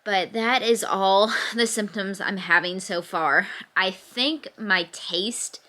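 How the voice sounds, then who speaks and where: Vlogger, 20s, feverish and congested, light laughs, crisp bright tone, bedside camera. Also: female voice, mid-range voice, slow pace. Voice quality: occasional cough, slightly stuffy nose. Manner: chatty, laughs through her complaints. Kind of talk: casual chat to camera. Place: in bed at home, bundled in a sweatshirt.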